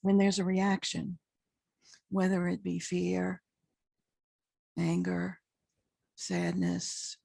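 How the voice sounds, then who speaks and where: A woman leads a guided meditation in a lowish, husky voice full of deep creak. She places short phrases carefully, leaving long pauses between them. The sound is close and dry. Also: monotone, darkish tone, no room sound. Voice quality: husky, gravelly low voice. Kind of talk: guided meditation, unscripted spiritual talk.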